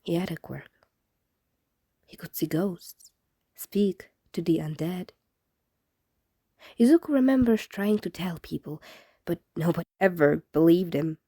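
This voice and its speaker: A woman's voice: lowish voice, a little slow, long pauses, dark tone, slightly rough, dry recording, studio quality. A woman reading fan fiction aloud as scripted narration, in the style of an audiobook. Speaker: fan fiction podfic reader.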